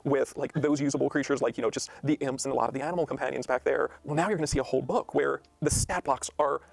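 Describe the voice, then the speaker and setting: A man's voice: mid-range voice, fairly even intonation, fast talker, creaky, no echo. A man talking as a game designer in a discussion, explaining with enthusiasm. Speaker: game designer presenting new books. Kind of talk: interview discussion.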